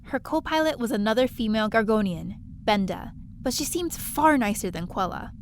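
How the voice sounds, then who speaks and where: Casting: actress as wry, plucky female space adventurer, high-pitched, breezy first-person narration, faint background noise. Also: expressive, normal speed. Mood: observant. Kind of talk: first-person scripted narration, audio drama.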